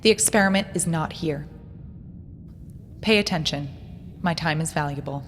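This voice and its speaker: A woman's voice: low voice, normal speed, slight background noise, close to an everyday voice. An actress playing a cold, imperious woman scientist in an audio drama, speaking in a condescending, clipped and precise way. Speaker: researcher, doctor. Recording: dramatic music behind the voice.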